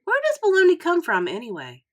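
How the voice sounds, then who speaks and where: A woman's voice: extremely high pitch, huge pitch swings, brisk, pitched above the performer's own voice, exaggerated voice. A woman voicing a wary, wry fourth-grade boy from a storybook, in plain protesting tones. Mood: hesitant.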